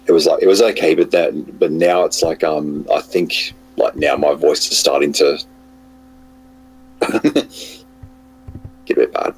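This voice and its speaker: A man with a cold and a hoarse voice, chatting casually as a guest on an online call, hesitant with many fillers and restarts. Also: extremely low pitch, steady tone, measured pace, long pauses, a little mellow, a little hiss or hum, poor audio.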